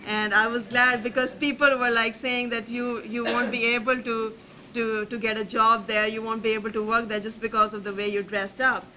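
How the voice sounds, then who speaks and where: A woman's voice: on the high side, flat delivery, noisy background. A young woman from Pakistan, a graduate student speaking earnestly on a university panel, often restarting her sentences. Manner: earnest, halting with many restarts. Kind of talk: panel talk.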